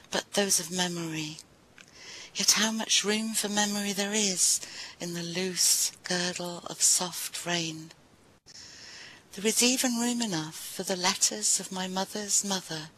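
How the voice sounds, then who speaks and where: A woman reciting poetry to listeners in a measured, deliberate way, with brief informal introductions. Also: mid-range voice, sharp tone, poor audio. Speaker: poetry reader at a live event.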